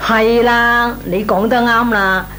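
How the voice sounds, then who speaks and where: An actress playing a nosy, goading neighbourhood housewife in a Cantonese comic radio drama, with colloquial, exclamatory talk. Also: female voice, a little high, fairly even intonation, slow pace, fairly bright tone. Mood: insistent. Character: nosy neighbourhood married woman, coaxing and teasing. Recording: noisy, humming radio recording.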